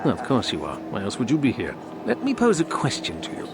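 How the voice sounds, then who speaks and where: A man's voice: mid-range voice, wildly swooping pitch, fast. Cruel, bored young male Sith for a game: haughty, theatrical, hugely varied intonation, noisy ambience behind. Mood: haughty menace. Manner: theatrical, haughty, drawling musing. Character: cruel, bored, arrogant young Sith man bullying hopefuls. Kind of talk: video game voice acting.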